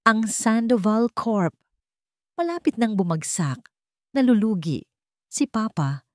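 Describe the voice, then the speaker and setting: A woman voicing a guilt-ridden man confessing a dark family secret in an audio drama, with a trembling voice. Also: fairly high pitch, big pitch swings, slow, dry recording, clean studio sound, pitched above the performer's own voice. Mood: grave, desperate. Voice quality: trembling, breaking voice.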